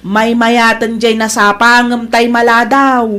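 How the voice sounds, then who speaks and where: A woman's voice: fairly high pitch, flat delivery, measured pace, sharp tone. A woman with an Ilocano accent playing an evasive married woman hosting a family feast in a radio drama. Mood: brisk, impatient. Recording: busy crowd sound behind voice.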